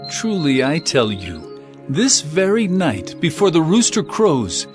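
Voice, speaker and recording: Solemn caring male teacher in a bible drama, very expressive pitch, music behind him. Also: slow pace, exaggerated voice. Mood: grave warning. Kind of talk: dramatized scripture acting.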